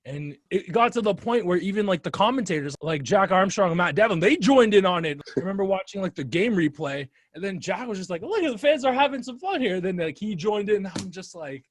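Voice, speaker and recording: A young man hosts a casual podcast, telling a story with a lot of energy and acting out the calls he made. His voice sits fairly high for a man, and it is recorded dry and close. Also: brisk, hardly any pauses, no room sound. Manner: animated storyteller, acts out his own loud calls. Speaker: podcast host.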